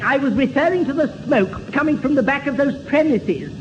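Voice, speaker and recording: A man's voice: very high-pitched, animated, a little fast. An actor playing a prissy, pompous, dithering English man in an old radio sitcom, fussy and genteel in manner. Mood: earnest, pompous. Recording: dull, slightly noisy old radio recording.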